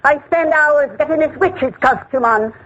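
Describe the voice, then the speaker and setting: Huffy old landlady, all worked up, high and bouncy, old radio sound. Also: normal speed, a bit bright. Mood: indignant. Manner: huffy, indignant. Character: indignant older landlady in a witch costume. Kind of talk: 1940s radio comedy acting. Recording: hissy muffled old radio broadcast.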